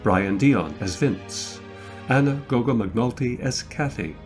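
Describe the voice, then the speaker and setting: A man's voice: medium pitch, lively intonation, slow pace. A man reading scripted cast and production credits as the announcer of a radio play. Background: music.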